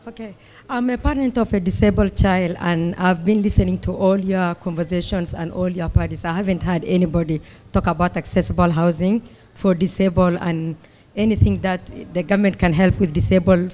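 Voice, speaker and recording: A parent from the audience asking a question at a public debate, earnest and halting. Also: mid-range voice, a little mellow. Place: public meeting hall.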